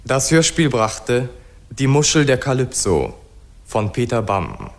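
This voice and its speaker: A man's voice: medium pitch, big pitch swings, slow. A man formally announcing the credits of a radio play from a script.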